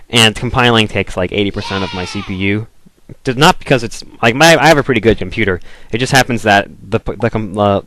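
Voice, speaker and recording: A young man from the southern United States talks through a computer game in a loose, rambling way, leaning on "like" and restarting his sentences. His voice sits on the low side. The sound is dry and close, with no room echo. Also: fairly bright tone. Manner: rambling, filler-heavy, restarts, frequent "like". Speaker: gaming video commentator. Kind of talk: casual game commentary.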